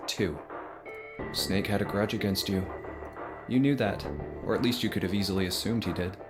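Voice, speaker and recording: Male actor as a determined young amateur sleuth, low voice, deliberate dramatic delivery, game music prominent underneath. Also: close to an everyday voice. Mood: pressing, accusing. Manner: deliberate, reasoning step by step. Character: determined young man methodically accusing a killer. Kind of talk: dramatic visual novel dialogue acting.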